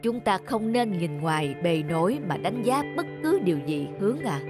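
A woman voicing a calm, wise middle-aged male teacher in a cartoon, speaking in a measured, instructive way. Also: mid-range voice, close to an everyday voice. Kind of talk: cartoon dubbing. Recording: music under the voice.

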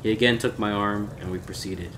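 A man reading a gothic horror story aloud in a measured, theatrical way. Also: lowish voice, fairly even intonation, background noise. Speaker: audiobook reader.

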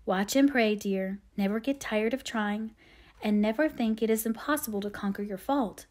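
Middle-aged storybook mother, voiced by a woman reader: patient, devout, tender, measured delivery. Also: mid-range voice, a little mellow, natural voice.

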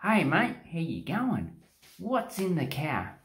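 A man's voice: a little high, huge pitch swings, slow pace, a bit bright, pitched above the performer's own voice, exaggerated voice. A man voicing a cheerful, blunt fellow who pulls over a car, asking nosy questions in a chummy way. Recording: echoey small bathroom.

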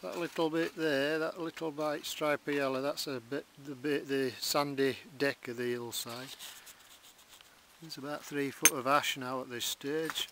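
A man with a Yorkshire accent narrates his countryside dig into a close camera mic, wry and understated. His voice sits a little high for a man. Plenty of outdoor noise comes through around him. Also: fairly even intonation, a little slow. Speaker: bottle digger filming his dig. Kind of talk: casual commentary while digging. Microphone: handheld or worn camera close to face.

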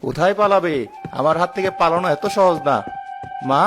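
A man's voice: sits high, a little fast, fairly bright tone. A man voicing a stern village son guarding the family's tree, in a declamatory children's cartoon style. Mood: stern, threatening. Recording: music behind the voice.

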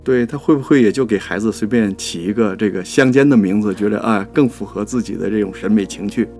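A man's voice: on the low side, fast, a little mellow, faint music behind. A man co-hosting a talk show, giving conversational commentary on classical poetry. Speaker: co-host and producer.

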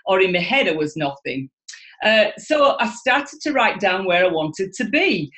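A woman's voice: mid-range voice, unhurried. Enthusiastic Yorkshire woman on a video call. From Yorkshire, England. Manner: enthusiastic, expressive, gestures a lot. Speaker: guest speaker. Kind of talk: animated motivational talk. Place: home room, indoors, video call. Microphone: video call webcam.

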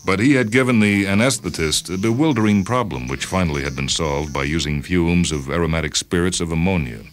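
A man hosting and reading short stories as scripted literary narration. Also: low-pitched. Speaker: host and story reader. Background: music and sound cues.

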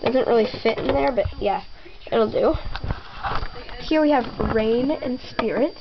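A young girl giving a rambling tour of her toy horse barn at home, with many ums and pauses. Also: on the high side, gravelly, noisy background. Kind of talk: casual home video narration. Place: bedroom at home. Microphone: handheld camera mic. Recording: slightly dull lo-fi home camera audio.

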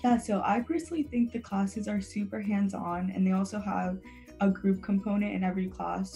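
A young woman from Venezuela, an engineering student, answering interview questions calmly over a video call. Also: fairly low pitch, gravelly. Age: young adult.

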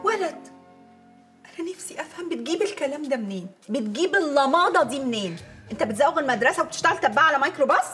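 A woman's voice: high-pitched, expressive, rapid-fire, fairly bright tone, faint music behind. A woman playing an exasperated, scheming Egyptian mother and wife in a TV comedy-drama, with a dramatic, complaining manner. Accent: Egyptian Arabic. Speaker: actress. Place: furnished home interior, film set.

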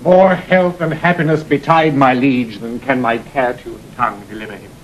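An actor playing a grave, loyal knight bringing dire news in oratorical Shakespearean verse with a British accent. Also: male voice, medium pitch, fairly even intonation, normal speed, a little hiss or hum, close to an everyday voice. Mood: grim urgency. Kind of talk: classical Shakespearean verse acting. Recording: muffled vintage studio recording.

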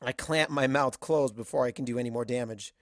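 Creaky-voiced story narrator. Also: medium pitch, brisk, no room sound, studio quality. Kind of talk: scripted first-person fan fiction narration.

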